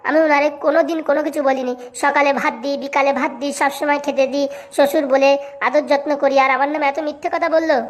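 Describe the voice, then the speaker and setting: A woman's voice: high-pitched, level tone, fast talker, close to an everyday voice. A woman playing a quarrelsome young village wife in a comic cartoon, scolding and complaining in a rural Bengali accent. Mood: defiant.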